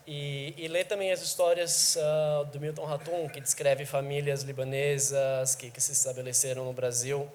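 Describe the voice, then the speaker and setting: A man moderating a book fair panel, putting long questions to a guest in a measured way. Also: fairly high pitch, little pitch movement, a little mellow, slight background noise.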